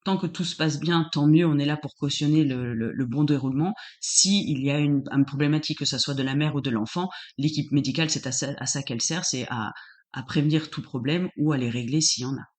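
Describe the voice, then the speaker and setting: A woman's voice: low-pitched, a little fast, darkish tone. A woman working as a doula, answering interview questions casually with frequent restarts as she searches for words.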